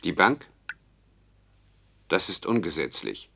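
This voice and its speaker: An actor playing the anguished, halting brain of a dead man kept in a tank, in a science-fiction radio drama. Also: deep voice, very animated, gravelly, bright, forceful tone, full character voice. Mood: anguished, protesting. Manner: halting, laboured, slow.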